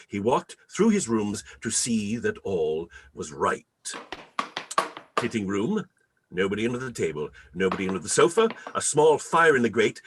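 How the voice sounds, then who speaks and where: A man's voice: lowish voice, fairly bright tone, slightly rough. A man narrating a classic story dramatically, with theatrical, emphatic and varied phrasing.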